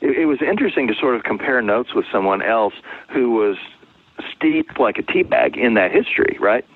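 A man's voice: mid-range voice, lively intonation, normal speed, husky, no echo. A man being interviewed as a novelist, talking conversationally and explaining things through anecdotes. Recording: phone-like, narrow-band, dry sound.

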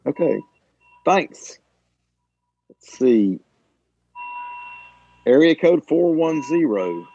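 A man's voice: a little high, sing-song, extremely slow, gravelly, warm tone, a little hiss or hum. Man moderating over a dull call. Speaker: book group moderator. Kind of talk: informal meeting moderation. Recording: dull, dry conference call.

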